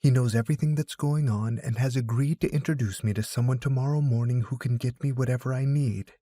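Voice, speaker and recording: A man's voice: medium pitch, a little fast, warm tone, no room sound, studio quality, natural voice. A man voicing a grumbling, wary teenage schoolboy in an audiobook, with a complaining manner. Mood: wary, secretive. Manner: complaining, grudging. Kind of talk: audiobook character dialogue.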